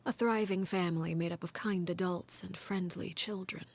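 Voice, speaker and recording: An actress voicing a cold, composed woman in a game cutscene, recounting a grim past in a measured, grave half-whisper. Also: fairly low pitch, fairly even intonation, darkish tone, slight background noise, close to an everyday voice. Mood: grave, calm. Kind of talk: game story cutscene dialogue.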